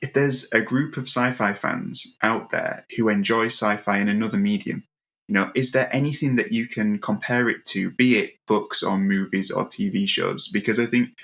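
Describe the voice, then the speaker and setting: A male host with a fairly low voice leads a casual interview. He speaks with enthusiasm in a conversational style. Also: normal speed, creaky, fairly bright tone.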